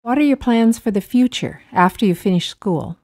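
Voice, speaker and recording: A woman's voice: on the high side, expressive, moderate pace, darkish tone. A woman working as an interviewer, asking short scripted questions.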